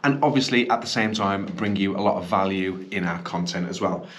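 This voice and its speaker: A man's voice: low-pitched, brisk. A man with a slight cough, hosting a casual vlog from a small indoor studio, chatty and upbeat with ums and self-corrections. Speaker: business owner and channel host.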